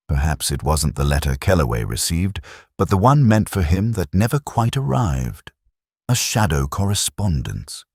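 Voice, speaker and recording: A man with an extremely deep voice narrates a detective mystery in a measured, solemn way. His pitch moves expressively through each reflective phrase. The sound is close and dry. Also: dry recording. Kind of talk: slow literary first-person mystery narration.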